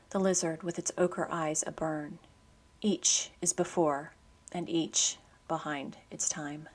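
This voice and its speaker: A woman hosting a poetry program, reading scripted commentary and verse in a measured, literary way. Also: fairly low pitch.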